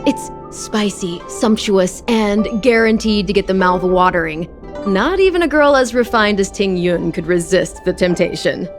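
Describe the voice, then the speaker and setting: A gracious, hearty game chef, acted by a woman speaks in a medium-pitched voice with effusive, storytelling delivery. She is adventurous and proud of her craft, with music clearly playing behind her. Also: expressive, moderate pace, lightly acted. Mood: grateful, proud. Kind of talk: video game voice acting.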